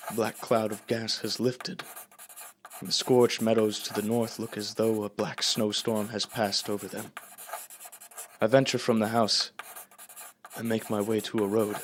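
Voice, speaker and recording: A man's voice: lowish voice, a bit bright, slight background noise. A young actor playing a haunted professor, a lone survivor solemnly narrating his journal in a theatrical radio drama. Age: young adult. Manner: solemn, deliberate, brooding.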